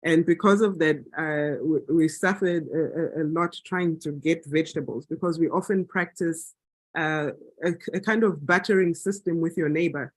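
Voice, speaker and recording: A man from Zambia, his voice sitting somewhat high for a man and carrying a husky, breathy edge. He talks in an unscripted, reflective way, with frequent fillers and restarts. The recording is dry and close, with little room sound. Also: normal speed, no echo. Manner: thoughtful, hesitant, many fillers and restarts. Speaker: meeting participant. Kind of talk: unscripted talk at a planning meeting.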